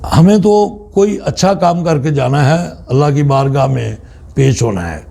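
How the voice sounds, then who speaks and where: A man with a Pakistani accent giving a religious teaching talk as a spiritual guide, in deliberate, halting phrases with pauses. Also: medium pitch, animated, vocal fry, warm tone.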